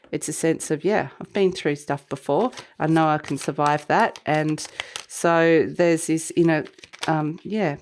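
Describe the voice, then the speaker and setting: A woman with a slightly croaky voice from a cold, giving a weekly tarot reading to camera in a meandering, reflective way. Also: low voice, darkish tone, slight background noise. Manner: meandering, reflective, intuitive musing. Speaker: tarot reader.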